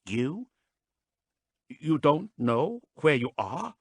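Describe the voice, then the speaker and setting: A man's voice: sits high, wildly swooping pitch, slow, breathy edge, dry recording, studio quality, voice pushed up, exaggerated voice. A man voicing a determined young woman law officer who speaks in an urgent, insistent way.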